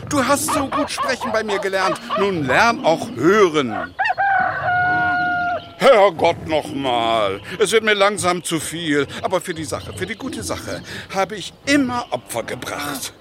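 An actor playing an eccentric, indignant animal-loving doctor in a children's radio play, theatrical and self-righteous. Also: male voice, high-pitched, sing-song, a little slow, heavy background noise. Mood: exasperated.

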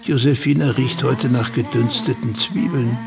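An actor voicing a teasing, mocking imaginary creature that needles a boy in a radio play, with a gravelly voice. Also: medium pitch, moderate pace, distant mic, loud music behind the voice, close to an everyday voice. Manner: mocking, goading.

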